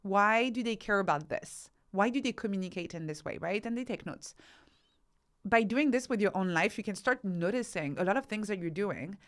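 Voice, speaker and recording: A woman's voice: medium pitch, lively intonation, moderate pace. A woman giving explanatory interview answers as a podcast guest, teaching through rhetorical questions and examples. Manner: instructive, uses rhetorical questions and acted-out examples. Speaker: podcast guest, researcher and author.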